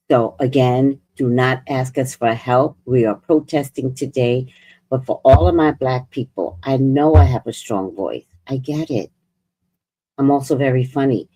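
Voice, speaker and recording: A woman's voice: a bass voice, dark tone, breathy edge, low-quality recording. A woman vlogger giving blunt, profane commentary as she reacts to clips. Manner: outspoken, profane, drawn-out emphatic delivery. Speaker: vlogger host.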